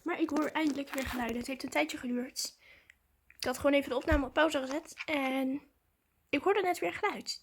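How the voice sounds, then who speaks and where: A woman, chatting casually and excitedly to viewers as a vlogger. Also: high-pitched, brisk, slight background noise.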